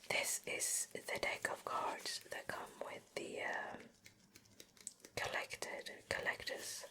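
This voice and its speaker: A woman giving a gentle, unhurried show and tell in a soft, breathy ASMR whisper, very close to the mic. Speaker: ASMR video creator. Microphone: close ear-to-ear ASMR microphone.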